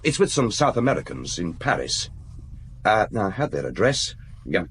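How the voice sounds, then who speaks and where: An actor playing a dutiful young police assistant in a radio drama, reporting to his chief in a deferential, brisk way. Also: fairly low pitch, big pitch swings, a bit bright, husky, full character voice. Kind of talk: 1990s BBC radio drama acting.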